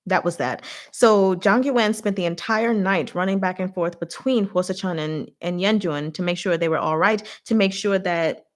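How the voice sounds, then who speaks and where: A woman hosting a casual live book discussion, retelling the plot in an animated, giggly, informal way with acted-out asides. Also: on the low side. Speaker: host of a livestream book discussion. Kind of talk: casual chatty live story recap with commentary.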